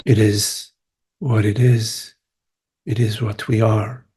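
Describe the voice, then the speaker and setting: A man leading a guided meditation as a teacher, speaking gently in short phrases with long pauses. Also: fairly low pitch, flat delivery, husky. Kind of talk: guided meditation, spiritual talk.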